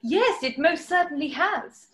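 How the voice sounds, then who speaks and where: Woman as eccentric interviewee, very high voice, echoey room, chatty, comic radio vox pop. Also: expressive, unhurried. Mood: prim, chatty. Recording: roomy echo.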